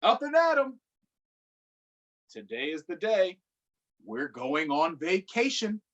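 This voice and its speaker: A man's voice: sits high, sing-song, takes it slowly, fairly bright tone, lo-fi sound, pitched above the performer's own voice, exaggerated voice. A jolly father frog from a picture book, calling out heartily to his son, as a man reads him aloud. His voice is a little lifted from the narrator's and swings up and down as he talks. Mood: cheerful.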